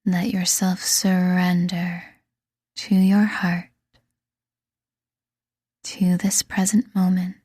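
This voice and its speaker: A woman reading a scripted guided meditation in a soothing, deliberate manner, leaving pauses between phrases. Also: lowish voice, flat delivery, darkish tone, slightly rough, no echo, clean studio sound. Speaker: meditation guide and podcast host.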